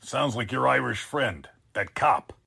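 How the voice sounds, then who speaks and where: An actor playing a sarcastic, blustering newspaper editor in a 1940s-style radio drama, with a husky voice. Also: male voice, medium pitch, expressive, a little slow, pushed and bright, exaggerated voice. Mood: businesslike. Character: exasperated, sarcastic male newspaper editor boss.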